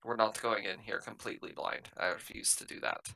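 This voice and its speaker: A man's voice: brisk, gravelly, sharp tone. A man playing a tabletop roleplaying game, moving between firm in-character lines and casual table talk, plain-spoken and given to swearing. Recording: low-quality laptop group session.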